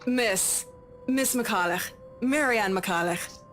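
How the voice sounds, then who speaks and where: A woman's voice: mid-range voice, slow, fairly bright tone, soft background music. An actress playing an elegant, sophisticated and imposing woman in a visual novel game. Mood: cool.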